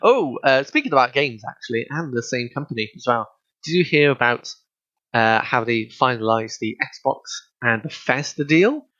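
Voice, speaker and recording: A man's voice: mid-range voice, expressive, moderate pace, bright, forceful tone, no room sound, muffled recording. A young man co-hosting a podcast, hesitant and giggly as he explains things. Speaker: podcast co-host. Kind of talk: casual chat.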